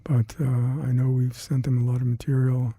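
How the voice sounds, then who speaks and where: An older man with Hungarian as his first language, recalling past work in an oral history interview, halting and reflective with many fillers and restarts. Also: medium pitch, flat delivery, mellow, breathy edge, no echo. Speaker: interviewee, retired scientist.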